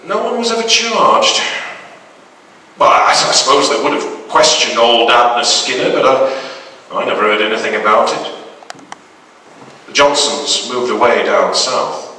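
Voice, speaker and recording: A man's voice: mid-range voice, expressive, unhurried, long pauses, pushed and bright. A man giving a dramatic reading of a macabre short story as a storyteller. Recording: very echoey hall, distant microphone.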